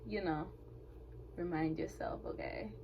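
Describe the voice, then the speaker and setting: A woman's voice: sits low, huge pitch swings, measured pace, vocal fry, highs cut off, background noise. A young woman from Kenya whose first language is Swahili, answering interview questions in a hesitant, casual way with many fillers. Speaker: interview guest.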